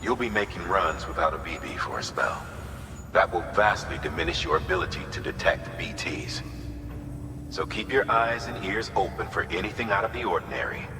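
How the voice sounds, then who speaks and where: A man playing a gruff, authoritative commander giving a mission briefing in a video game, measured and firm with folksy turns of phrase. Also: deep voice, close to an everyday voice. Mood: firm, instructive. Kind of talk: dramatic video game dialogue. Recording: echoey hall with noisy background.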